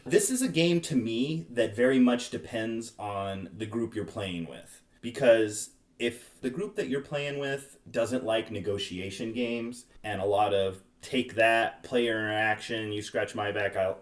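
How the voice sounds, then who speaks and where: A man's voice: fairly low pitch, measured pace, hardly any pauses, far from the mic. A man hosting a casual board game review chat in a joking, opinionated way. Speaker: podcast host. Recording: roomy echo, off-mic sound.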